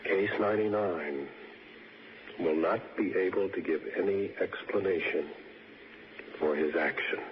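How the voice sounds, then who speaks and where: An actor playing a coolly persistent police interrogator in a 1960s radio drama. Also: male voice, low voice, measured pace, long pauses. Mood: cool, probing. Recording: noisy, dull old radio broadcast.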